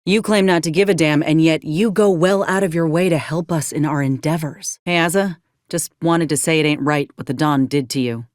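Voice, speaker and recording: A woman's voice: low voice, normal speed, dry recording, studio quality, close to an everyday voice. An actress playing a frank, caring young woman soldier in a game, earnest and plain-spoken with folksy turns of phrase. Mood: frank, troubled, then earnest, gentle. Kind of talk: video game companion dialogue.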